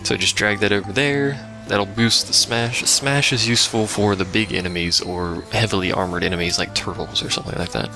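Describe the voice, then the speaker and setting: A man with a lowish, very creaky voice talks casually through a video game. He rambles along with dry, sarcastic asides while game music plays clearly behind him. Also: fairly even intonation, brisk, hardly any pauses. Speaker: let's play host. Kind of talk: casual gameplay commentary. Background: game music and sounds.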